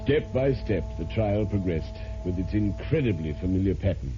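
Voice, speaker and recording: A man's voice: low voice, lively intonation, normal speed. A man acting an inquisitive newspaper reporter who narrates a murder trial in a vintage radio drama. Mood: grave narration. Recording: muffled vintage radio with loud music.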